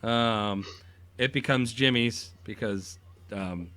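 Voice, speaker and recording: A young man chatting casually as a co-host, animated, swearing freely, full of fillers and restarts. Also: fairly low pitch, slow pace. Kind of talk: casual chat.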